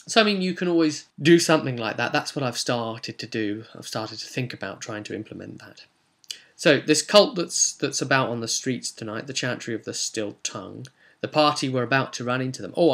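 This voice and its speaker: A man's voice: fairly low pitch, gravelly, fairly bright tone. A man rambling hesitantly as a game master, casually recapping a tabletop role-playing session and often correcting himself.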